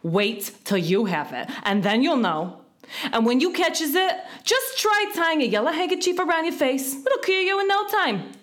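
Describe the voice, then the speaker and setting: Woman playing a querulous old male wasp, high and swooping. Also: sing-song, normal speed, a bit bright. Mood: fussy, querulous. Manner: grumbling, rustic ungrammatical speech. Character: cross, fretful old male wasp, peevish and self-pitying.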